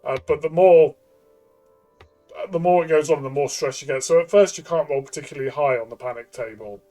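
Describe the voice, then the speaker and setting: A man chatting as a game reviewer, thinking aloud in a halting way with repeated words. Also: on the high side, dark tone, soft background music. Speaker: tabletop game reviewer and player. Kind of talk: casual review discussion.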